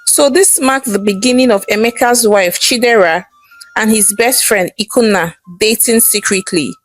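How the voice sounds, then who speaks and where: A woman's voice: medium pitch, normal speed, faint music behind, low-quality recording. A woman narrating a scripted folktale in a dramatic storytelling style.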